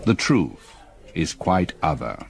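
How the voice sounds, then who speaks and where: An actor playing a middle-aged, haunted and reserved English gentleman stepfather in a radio drama, with a husky voice and formal, hesitant manner. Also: deep voice, sing-song, takes it slowly, full character voice. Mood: brooding, grave.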